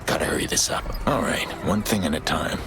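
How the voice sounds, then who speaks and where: An actor playing a gruff middle-aged vigilante hacker in a video game, terse and deadpan, with a gravelly voice. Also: male voice, a little fast, noisy background. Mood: focused muttering. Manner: terse, low-key, deadpan. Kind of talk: video game dramatic acting.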